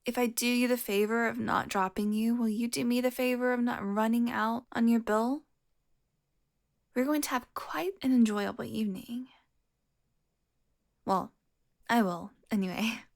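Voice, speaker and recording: A woman's voice: on the high side, steady tone, moderate pace, long pauses. A woman playing a smug, flirtatious, predatory female vampire in an intimate audio roleplay, teasing and often dropping toward a whisper. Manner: taunting, sultry, drops into breathy whispers.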